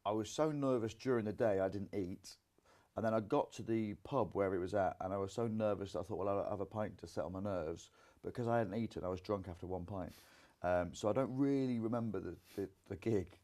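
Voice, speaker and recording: Young comedian guest, deep and dark-toned, chatty and filler-heavy. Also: male voice, brisk, few pauses. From Grimsby, England. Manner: rambling, many fillers. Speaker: comedian and singer. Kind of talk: casual interview chat.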